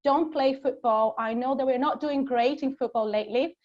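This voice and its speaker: A woman's voice: sits high, moderate pace. A woman in her thirties from Brazil with a Brazilian accent, giving an upbeat webinar talk from her home. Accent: Brazilian Portuguese. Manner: upbeat, engaging the audience. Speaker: guest speaker. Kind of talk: webinar presentation. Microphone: video call. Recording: muffled video call audio.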